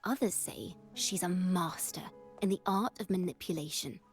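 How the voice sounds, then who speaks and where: A woman's voice: lowish voice, moderate pace, soft background music, natural voice. An actress voicing a worldly woman informant in a video game, sharing rumours in a conspiratorial, cautioning way. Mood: gossipy, ominous. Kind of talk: video game dialogue acting.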